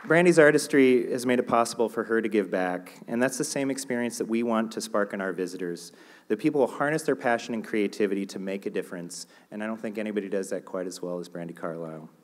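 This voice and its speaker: Lowish-voiced man presenting an award. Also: quick pace. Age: middle-aged. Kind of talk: formal prepared introduction speech. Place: large theater stage, indoors. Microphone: podium microphone. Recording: audience noise in the hall.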